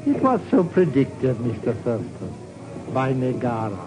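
An actor playing a courteous, grave Indonesian government geologist who speaks formally and ominously in a 1950s radio drama. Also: male voice, medium pitch, big pitch swings, measured pace, music in the background. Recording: noisy 1950s radio recording.

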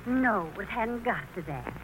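A woman's voice: medium pitch, sing-song, a little slow. An actress playing a fretful, sentimental older mother of a grown son in a 1940s radio play. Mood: fussing. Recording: noisy old radio broadcast recording.

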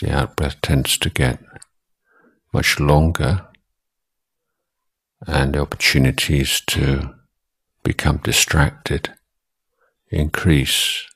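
Very deep, husky man guiding meditation slowly. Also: level tone, a bit bright. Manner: measured, deliberate, with long pauses between short phrases. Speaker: Buddhist monk and meditation teacher. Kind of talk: guided meditation instruction.

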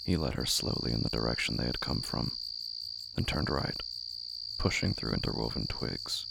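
A narrator reading literary horror fiction in a hushed, brooding, deliberate way, with a gravelly, breathy voice. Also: extremely low pitch, steady tone, very noisy. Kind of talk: slow literary horror audio drama narration. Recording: music bed under the voice.

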